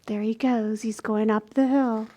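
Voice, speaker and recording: An older woman in her sixties hosting a children's storytime, reading aloud and explaining things simply and deliberately for small children. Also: fairly high pitch, fairly even intonation, dark tone. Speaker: library storytime host. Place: small indoor room.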